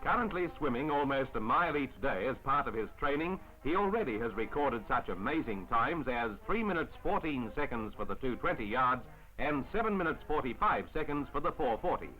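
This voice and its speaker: A man narrating a 1950s newsreel in a formal, measured announcer style on an old film soundtrack. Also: mid-range voice, lively intonation, low-quality recording. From Australia. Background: music.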